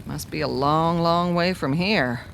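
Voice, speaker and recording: An actress playing a friendly, folksy small-town waitress in a radio drama. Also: female voice, deep voice, gravelly, a bit bright, slight background noise, heavily acted. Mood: friendly surprise. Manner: folksy, unhurried.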